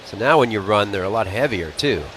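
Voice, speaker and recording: A man's voice: lowish voice, expressive, a little fast, fairly bright tone. An American man giving conversational color analysis during a live baseball broadcast. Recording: clearly noisy live outdoor broadcast.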